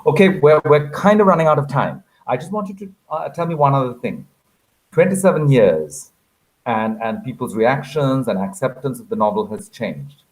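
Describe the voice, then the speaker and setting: A man's voice: medium pitch, expressive, moderate pace, low-quality recording. A man moderating a conversation, asking questions in a conversational interview style.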